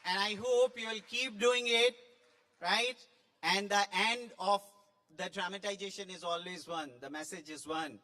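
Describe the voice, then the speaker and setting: A man's voice: high-pitched, a little slow, bright, forceful tone. A man giving an effusive, emphatic impromptu speech as a judge at a school play event. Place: large school hall. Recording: echoing hall with long reverb.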